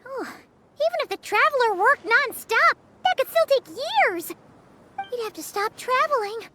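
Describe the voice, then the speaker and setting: A woman voicing a tiny, excitable childlike fairy companion in a video game, squeaky and exclamatory. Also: moderate pace, full character voice. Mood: dismayed. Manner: refers to herself in third person, exclamatory.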